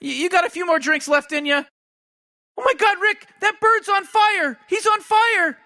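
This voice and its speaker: Man voicing a timid teenage boy, very high pitch, bright tone, stammering, whiny. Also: moderate pace, exaggerated voice. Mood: nervous. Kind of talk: comedic commentary on game characters.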